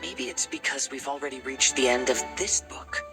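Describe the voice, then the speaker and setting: A man plays a young male adventurer from an anime game. He speaks in a measured, polite, reasoning way with a composed temper. Music plays clearly behind him. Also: medium pitch, lightly acted. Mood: thoughtful. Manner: measured, courteous, analytical. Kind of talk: anime game character acting.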